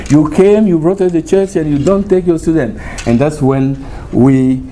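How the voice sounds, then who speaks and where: A middle-aged man from Congo with a Congolese accent, a university professor giving a lively, informal talk to a small group, often laughing and switching languages. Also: fairly high pitch, normal speed, slight background noise. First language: Chiluba and Lingala.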